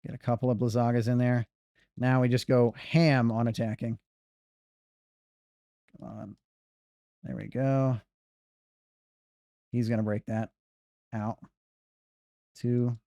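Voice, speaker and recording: Male streamer, casual speedrun commentary, warm low tone, dry. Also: fairly even intonation, takes it slowly, pauses often, no echo. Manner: rambling, self-correcting banter.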